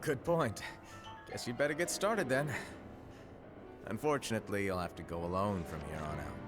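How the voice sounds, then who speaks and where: An actor playing a mysterious, playful hooded keyblade master in a game cutscene, breezy and secretive. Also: male voice, fairly low pitch, moderate pace, natural voice. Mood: teasing. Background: game soundtrack music.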